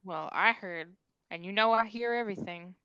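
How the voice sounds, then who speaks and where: A woman's voice: mid-range voice, normal speed, clear, resonant tone, highs cut off, lightly acted. A woman playing a breezy, gossipy know-it-all informant in a comedy table read.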